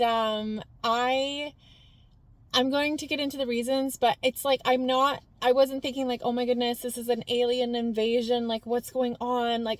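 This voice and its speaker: Woman vlogger, high natural voice, scattered casual delivery. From Canada. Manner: rambling, jumps between points with many fillers. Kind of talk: casual talking-head commentary.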